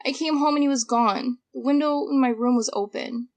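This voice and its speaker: A woman's voice: sits high, fairly quick. A woman playing an anxious, defensive twelve-year-old girl in an audio drama, earnest and hesitant. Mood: worried, earnest.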